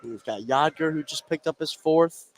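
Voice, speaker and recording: A man's voice: on the high side, normal speed, a little hiss or hum. A man giving conversational live analysis as color commentator on a basketball radio broadcast.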